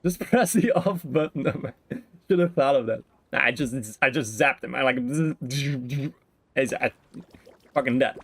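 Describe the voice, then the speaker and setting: A man's voice: medium pitch, measured pace, fairly bright tone, slightly rough, no room sound. A man giving casual, jokey live commentary on a game, often muttering in broken fragments. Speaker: game streamer.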